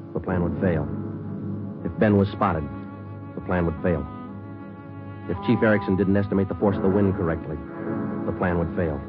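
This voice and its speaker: A deep-voiced American detective played by a man speaks in clipped, deadpan sentences. The sound is a muffled 1940s radio drama with loud music. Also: lightly acted. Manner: clipped, deadpan, matter-of-fact. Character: terse, level-headed male police detective narrating his case.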